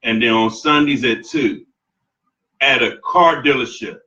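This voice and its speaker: A man in his forties chatting casually as a podcast guest, earnest and quick to laugh. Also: medium pitch, pushed and bright, a little hiss or hum. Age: 40s. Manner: laughs often, preachy and earnest. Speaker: podcast guest, live show co-host. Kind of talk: casual chat. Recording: echoey room, far from mic, dull lo-fi.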